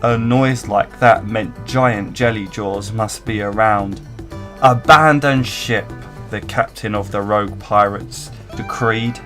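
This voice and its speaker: A man giving an expressive scripted reading of a rhyming children's story. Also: on the low side, a little slow, few pauses. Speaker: storybook reader. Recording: faint guitar music behind. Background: soft plucked guitar music.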